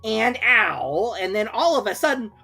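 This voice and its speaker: A woman's voice: on the high side, very animated, unhurried, pushed and bright, husky, faint music behind, voice pushed up, heavily acted. A woman voicing a bossy, self-important male rabbit who lectures emphatically and pompously in a storybook reading. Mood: exasperated.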